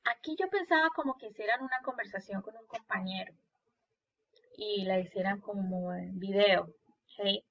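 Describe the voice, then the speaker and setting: A woman's voice: medium pitch, moderate pace, vocal fry, highs cut off. A woman English teacher with a Spanish accent, patiently explaining a worksheet and translating each phrase into Spanish. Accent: Spanish-speaking. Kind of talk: recorded lesson walking through a worksheet.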